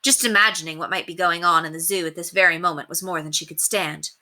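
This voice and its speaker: A woman reading a children's novel aloud as expressive scripted narration. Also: lowish voice, fast.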